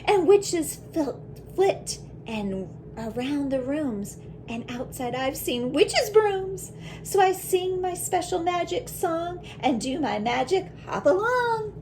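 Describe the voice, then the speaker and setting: A woman voicing a brave, imaginative little schoolgirl in a picture book, with dramatic, emphatic, drawn-out delivery. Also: very high-pitched, big pitch swings, a little mellow, a little hiss or hum, voice pushed up, heavily acted. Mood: spooky, defiant.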